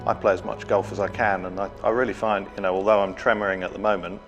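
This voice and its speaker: A man's voice: on the low side, flat delivery, fast, dull audio, soft background music. A man in his fifties, a team captain, answering interview questions in a modest, hesitant way. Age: 50s.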